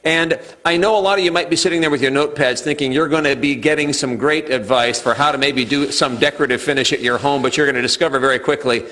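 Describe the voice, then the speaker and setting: A man's voice: mid-range voice, steady tone, fast talker, hardly any pauses, sharp tone. A man reporting live for local TV news from inside a house under construction, interviewing with chatty enthusiasm. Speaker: TV field reporter. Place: home under construction, indoors.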